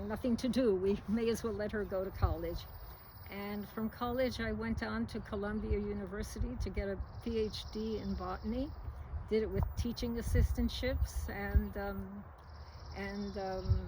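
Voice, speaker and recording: An elderly woman from New York City, around ninety, speaking reflectively in a recorded interview, with many fillers and pauses. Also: medium pitch, noisy background. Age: about 90. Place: at home.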